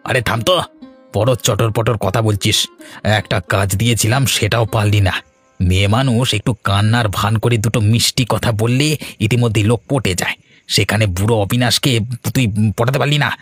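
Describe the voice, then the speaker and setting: A man's voice: fairly low pitch, fairly quick, husky, no echo. A man voicing a selfish, scheming village father in a cartoon, berating and sneering. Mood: scolding. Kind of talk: animated cartoon voice acting. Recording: faint music behind the voice.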